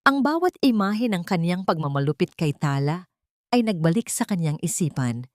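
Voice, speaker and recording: A woman's voice: fairly low pitch, big pitch swings, moderate pace, no room sound, studio quality. A woman narrating a scripted drama story in a solemn, melodramatic storytelling manner.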